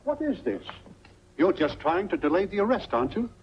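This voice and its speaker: An actor playing a skeptical, brisk small-town doctor with a formal, questioning manner in 1940s film noir style. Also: male voice, high-pitched, very animated. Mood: suspicious. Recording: muffled, humming, noisy 1940s film soundtrack.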